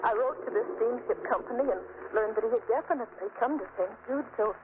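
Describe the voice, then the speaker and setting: A woman's voice: a little high, fast, lightly acted. An actress playing an anxious, tearful young woman in an old radio drama. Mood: fretful. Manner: tearful, fretful. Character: anxious young woman seeking her fiancé. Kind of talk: 1930s radio acting. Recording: tinny, hissy old radio broadcast.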